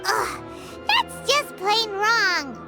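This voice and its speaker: A woman's voice: extremely high pitch, wildly swooping pitch, extremely slow, over loud music, heavily acted. A woman voicing a tiny, curious, chirpy childlike fairy companion in a video game, given to exclamations. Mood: dismayed. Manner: refers to self in third person, exclaiming. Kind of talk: cartoonish video game voice acting.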